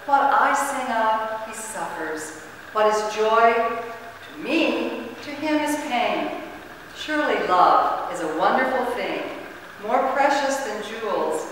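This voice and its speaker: Storybook nightingale, played by a woman: earnest, bright, high, swooping, in an echoey hall. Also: expressive, slow. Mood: tender wonder. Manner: ardent, theatrical. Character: tender, romantic, earnest female nightingale. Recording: very echoey hall, distant handheld camera, noisy.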